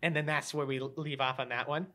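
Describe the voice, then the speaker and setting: A young man hosting a casual movie review podcast, joking around excitably and in slang with his co-hosts. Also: medium pitch, fast, heavy background noise. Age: young adult.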